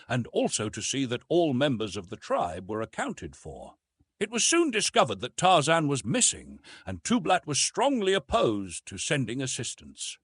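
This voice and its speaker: A man reading a classic adventure story as an audiobook narrator, in an expressive, measured, literary storytelling style. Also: mid-range voice, husky, dry recording, studio quality.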